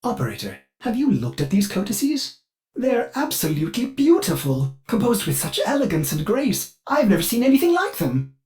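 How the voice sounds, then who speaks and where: A man's voice: sits high, huge pitch swings, normal speed, a little mellow, soft background music. An actor voicing a polite, eager male ship AI servant in a video game, with a formal, mannered delivery. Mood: admiring. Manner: mannered, formal, refers to itself in third person. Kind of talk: scripted game character voice lines.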